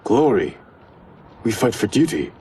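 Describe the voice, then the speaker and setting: An actor playing a dutiful younger brother warrior in a video game, earnest and principled. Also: male voice, on the low side, sing-song, unhurried, mellow, slight background noise. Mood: earnest protest. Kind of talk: dramatic video game cutscene acting.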